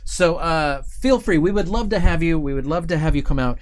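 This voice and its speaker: A man's voice: on the high side, animated, a little fast. A man hosting a livestream in a friendly, informal, enthusiastic way, chatting casually. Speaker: host of a company livestream. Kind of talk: casual chat, hosting a talk show.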